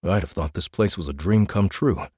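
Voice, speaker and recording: A man playing a gruff, low-voiced irritated farmer. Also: normal speed, a little mellow, no echo, clean studio sound. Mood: annoyed, blunt. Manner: blunt, hesitant, exasperated. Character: gruff, irritated rough-looking family man, an orchard farmer.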